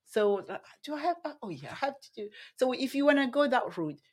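A soft-voiced woman, a nutritionist, chatting as a podcast guest, animated and quick to laugh, often restarting her sentences. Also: sits high. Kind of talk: casual interview chat.

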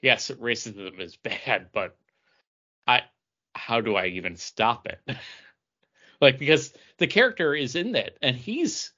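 A man co-hosting a podcast in casual conversation, talking haltingly and repeating himself as he makes his point. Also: medium pitch, expressive, bright, forceful tone, highs cut off. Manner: halting, repeating and restarting phrases. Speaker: podcast co-host reviewing comic books. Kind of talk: casual chat.

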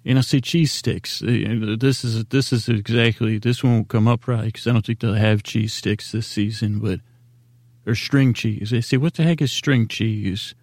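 A man hosting a sleep podcast in a casual, meandering monologue, halting and mumbling in a self-deprecating way. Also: fairly low pitch, level tone, a little mellow, dry recording. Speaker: podcast host.

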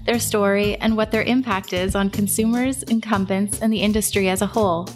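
A woman's voice: mid-range voice, steady tone, brisk. A woman reading a scripted, polished introduction to a podcast. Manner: polished, announcing tone. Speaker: show announcer. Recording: faint music bed. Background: faint music.

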